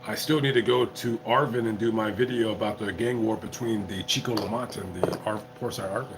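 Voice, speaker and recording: A tipsy man live-streaming as a YouTuber, chatting casually in a rambling, halting way full of restarts. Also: on the low side, steady tone, background noise. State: tipsy from drinking. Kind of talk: casual livestream chat.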